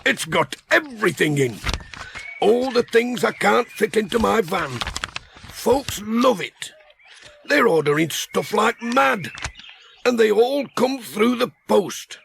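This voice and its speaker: A man's voice: sits high, animated, slow pace, gravelly, fairly bright tone, slight background noise, pitched above the performer's own voice, heavily acted. A man voicing a cheerful, chatty village tradesman in a children's audiobook, with an emphatic, folksy manner.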